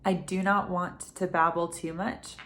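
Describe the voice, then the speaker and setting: Hushed, slightly sick woman vlogger. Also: lowish voice, level tone, measured pace. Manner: talking quietly at night; chatty, rambling, with frequent ums. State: getting over a head cold, dry throat. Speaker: fragrance reviewer. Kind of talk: casual vlog talk to camera. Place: home at night.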